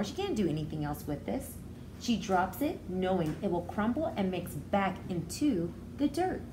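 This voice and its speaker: A woman teacher reading a story aloud to young children, expressively, pausing to explain things in simple words. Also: mid-range voice, noisy background. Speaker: preschool educator.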